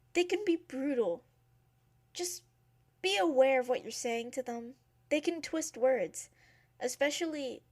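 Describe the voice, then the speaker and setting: A woman's voice: high-pitched, a little mellow. An actress playing a protective young maid friend in an audio drama, talking in a cautioning, motherly way.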